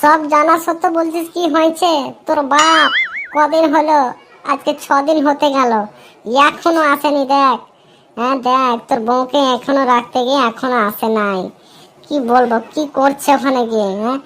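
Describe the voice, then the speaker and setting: A woman's voice: sits high, fairly even intonation, moderate pace, fairly bright tone. A woman voicing a fiery older mother in a dubbed cartoon, scolding and threatening her absent husband over music. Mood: furious. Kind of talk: cartoon dubbing. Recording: clear music behind.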